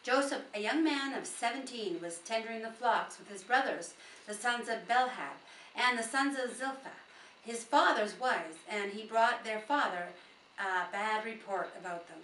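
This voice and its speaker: A woman's voice: on the high side, moderate pace, a bit bright. A woman reading scripture aloud with informal asides, recorded at a distance in a roomy space with faint noise. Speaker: Bible reader.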